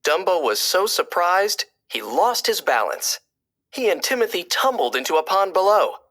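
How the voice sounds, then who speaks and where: A woman narrating a children's storybook aloud in an expressive, dramatic style, phrased clearly for young listeners. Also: lowish voice, moderate pace. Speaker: storybook reader.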